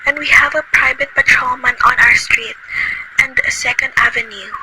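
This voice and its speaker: Woman doing a high-pitched frantic invalid lady, demanding, loud hiss behind. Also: steady tone, moderate pace, lightly acted. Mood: anxious. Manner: shrill, agitated, imperious. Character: nervous bedridden wealthy woman, demanding. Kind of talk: amateur radio play acting. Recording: loud noisy recording.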